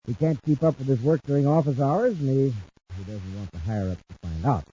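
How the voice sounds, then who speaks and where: A man's voice: mid-range voice, brisk, mellow, natural voice. An American man playing a glib, needling crook who wheedles his way along, in a 1950s radio serial. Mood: conniving. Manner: smooth-talking, wheedling. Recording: muffled, hissy 1950s radio recording.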